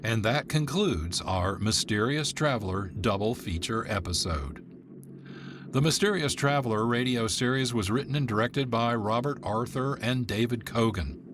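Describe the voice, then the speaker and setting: A man who is the producer and host of a radio program, giving measured, informative narration from a script. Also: medium pitch, lively intonation, noisy background.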